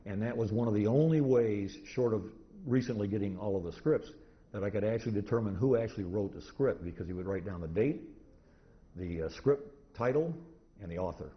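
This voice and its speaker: A man giving an informal, rambling lecture to an audience, halting and restarting often as he goes. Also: lowish voice. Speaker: convention presenter, radio historian. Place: indoor convention talk room. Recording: muffled, noisy live convention room recording.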